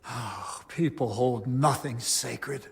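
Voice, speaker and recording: A man's voice: medium pitch, slow pace, creaky. A man playing a devout, troubled abbot in a medieval video game drama, speaking in a solemn, formal, pious way. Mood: grieved.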